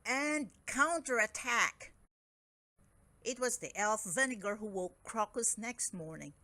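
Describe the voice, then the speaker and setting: Woman reading a kids bedtime story, really slow and careful, super up and down expressive. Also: fairly high pitch. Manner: expressive, deliberate delivery. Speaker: storybook reader. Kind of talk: children's read-aloud storytelling.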